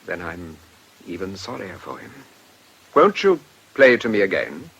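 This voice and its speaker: An actor playing a guarded, quietly defiant older man in a radio drama, speaking in a clipped, formal way. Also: deep voice, very animated, a little slow, vocal fry, breathy edge, exaggerated voice. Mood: gentle sympathy. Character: guarded, stern older male blackmail victim, quietly defiant.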